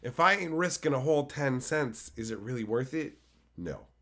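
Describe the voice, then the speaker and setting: A man, hoarse from a cold, casually chatting with his live-stream viewers and swearing freely. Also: medium pitch, lively intonation, moderate pace, a bit bright. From Canada. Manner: loose, swears freely, answers chat by name. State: hoarse from being sick. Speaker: live streamer reading chat.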